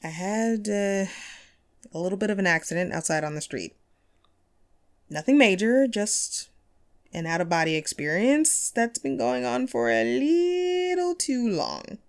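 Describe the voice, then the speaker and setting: A woman's voice: mid-range voice, very animated, a little slow, gravelly. A woman playing a friendly, wistful ghost who hosted a paranormal radio show, chatty and playful in an intimate audio roleplay. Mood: chatty, wry. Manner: playful, conversational, self-deprecating, breaks into chuckles. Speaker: radio host.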